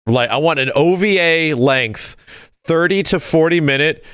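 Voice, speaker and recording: Male podcast co-host, clear resonant voice, dry close sound, casual, enthusiastic, sweary. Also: a little high, a little slow, fairly bright tone, no room sound. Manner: enthusiastic, profane. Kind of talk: casual chat about fighting game films.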